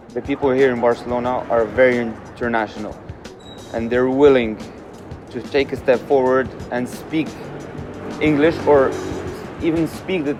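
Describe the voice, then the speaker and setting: A young man from Morocco answers questions in a relaxed, candid way. He leans on plenty of "uh"s as he thinks aloud. His voice sits at an ordinary middle pitch, with a clear music bed underneath. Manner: candid, easygoing, uses many fillers. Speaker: interviewee. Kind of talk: casual interview answers.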